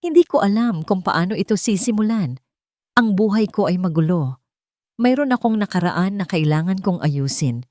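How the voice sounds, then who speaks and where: A woman's voice: medium pitch, lively intonation, normal speed, dark tone, no echo, clean studio sound, lightly acted. A woman with a Filipino accent voicing a sincere, serious millionaire father in love in an audio storybook. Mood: earnest, loving. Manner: earnest, serious.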